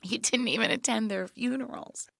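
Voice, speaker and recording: An actress in an audio play playing a dying younger sister, weary, wry and resigned, with a frail, halting, breathy delivery that drops to whispers. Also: female voice, fairly high pitch, fairly bright tone, dry recording, clean studio sound. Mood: quietly reproachful. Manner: frail, halting, wryly understated. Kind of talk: intimate audio play acting.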